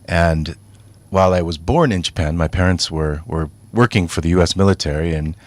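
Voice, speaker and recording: A man speaking as an author guest on a radio interview, thoughtful and measured, with frequent pauses. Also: sits low. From United States.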